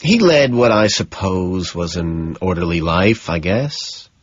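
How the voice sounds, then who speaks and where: A man voicing a nervous, evasive, wealthy businessman of twenty-seven in audiobook dialogue, speaking haltingly. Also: low-pitched, expressive, husky, dull audio, full character voice. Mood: uneasy.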